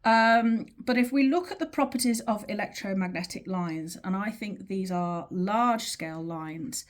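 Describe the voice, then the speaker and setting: A woman teaching in an informal, explanatory way, with measured, emphatic phrasing and pauses as she talks through a drawing. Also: medium pitch. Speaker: teacher of earth energy dowsing.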